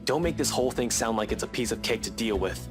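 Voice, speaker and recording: A man's voice: mid-range voice, lively intonation, fast, lightly acted. An actor playing a stern, protective young man in a visual novel, with a blunt, dry delivery. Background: background music.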